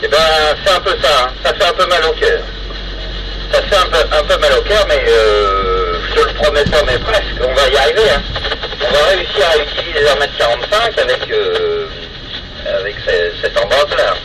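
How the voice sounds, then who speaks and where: A man's voice: mid-range voice, very animated, normal speed. A man chatting casually and technically over two-way FM radio, explaining things in a rambling way. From France. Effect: two-way FM radio transmission. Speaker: amateur radio operator. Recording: very noisy FM radio, vehicle noise.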